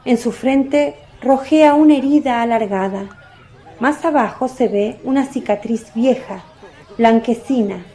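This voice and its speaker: A woman's voice: fairly high pitch, unhurried, warm tone, a faint crowd. A woman narrating a scripted religious radio drama as a storyteller.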